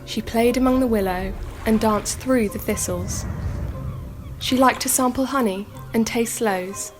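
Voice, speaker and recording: Woman acting a slow, pensive young storyteller. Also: a little high, soft background music, natural voice. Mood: measured, lyrical. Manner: measured, lyrical storytelling. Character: pensive daughter telling her own dark fairy tale. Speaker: actor in a radio play. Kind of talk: radio drama acting, storybook narration.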